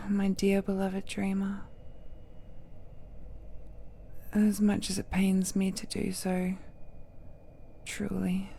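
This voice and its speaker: A woman playing an ancient godlike villainess in an intimate audio drama, seductive, possessive and falsely tender, with coy endearments and a breathy voice that often drops to a whisper. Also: medium pitch, mellow, a little hiss or hum. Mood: falsely regretful. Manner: slow, coy, drawn-out endearments with teasing murmurs.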